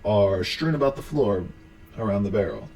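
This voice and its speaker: A man's voice: fairly low pitch, animated, moderate pace, over loud music, low-quality recording. A man narrating an explainer about a horror series in a scripted recap style. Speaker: video narrator.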